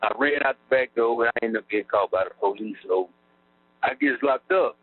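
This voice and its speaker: A man's voice: mid-range voice, a little fast, breathy edge. Man on a tinny phone line. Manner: rambling, lots of fillers, laughs wryly at times. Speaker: incarcerated interviewee. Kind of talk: casual storytelling about his past. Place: prison phone call.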